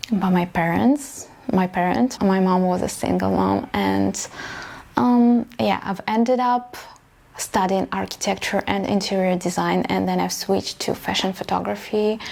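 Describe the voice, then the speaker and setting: A woman's voice: mid-range voice, gravelly, darkish tone. A woman in her late thirties whose first language is Russian, sharing her personal story in an earnest, reflective vlog. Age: late 30s. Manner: earnest, reflective, with small grammar slips. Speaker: vlogger and model coach.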